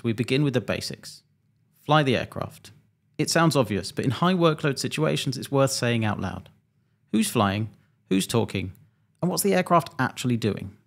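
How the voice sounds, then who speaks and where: A man around forty, an airline pilot, presenting a scripted explainer in a measured, methodical, slightly dry way, close to a desk microphone. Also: mid-range voice, creaky. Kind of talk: scripted explainer narration to camera. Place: small home studio indoors.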